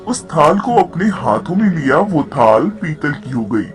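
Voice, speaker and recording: A man's voice: on the high side, sing-song, measured pace, faint music behind. A man narrating a storybook tale from a script, as the narrator of the story.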